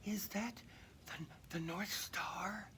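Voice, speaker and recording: A man voicing a sweet, eager young female reindeer in a storybook, with a soft, earnest manner. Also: high-pitched, steady tone, drawn-out words, a little hiss or hum, pitched above the performer's own voice, heavily acted. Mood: hushed wonder.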